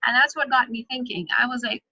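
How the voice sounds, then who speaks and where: A woman in her thirties, from Pakistan, delivers a measured academic talk with plenty of ums. Her voice is bright and crisp, but her pitch stays flat and even. The recording is dry and a little dull, like a video call. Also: no room sound. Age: 30s. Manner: measured, thoughtful, frequent ums. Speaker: conference presenter. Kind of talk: academic presentation. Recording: muffled lo-fi audio.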